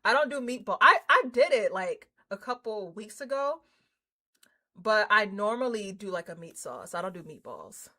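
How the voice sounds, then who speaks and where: A woman livestreamer chatting casually with her viewers in an excitable, slangy, informal way. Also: mid-range voice, moderate pace. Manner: excitable, hyped, effusive; slangy, informal, drops swearing in. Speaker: livestreamer hosting a game stream.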